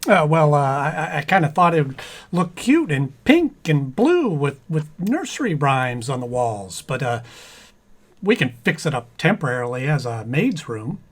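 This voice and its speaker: A man's voice: fairly high pitch, sing-song, normal speed. A man playing a distracted, mild-mannered husband in a 1940s-style radio comedy, hesitant and stammering. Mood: sheepish. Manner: hesitant, stammering, absent-minded.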